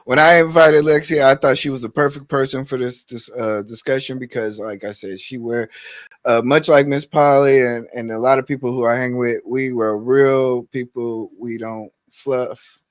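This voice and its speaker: A man hosting a casual podcast, talking loosely and haltingly, often repeating words as he goes. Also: mid-range voice, fairly even intonation, no room sound. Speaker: podcast host.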